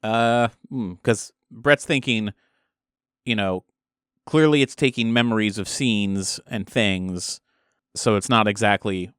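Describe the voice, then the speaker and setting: Man, tabletop player, informal, thinking aloud, smooth clear voice, dry recording. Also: lowish voice, slow pace, fairly bright tone, no room sound. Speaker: player in a tabletop role-playing game. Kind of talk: casual improvised game play.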